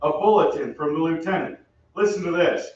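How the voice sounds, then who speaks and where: A man's voice: fairly high pitch, a little fast, slight background noise, lightly acted. A man playing a brash young American soldier in an old-time radio play, with breezy, slangy delivery. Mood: self-important. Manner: breezy, slangy, hesitant in bursts. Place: theatre stage. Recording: very echoey stage, distant mic, muffled.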